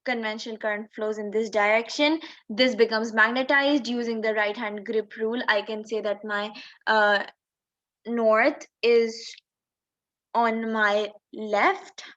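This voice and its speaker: A woman's voice: on the high side, fairly even intonation, a little slow, a bit bright. A woman teaching in an explanatory tutorial style, going step by step and posing questions as she explains. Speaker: physics teacher. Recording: dull lo-fi microphone sound.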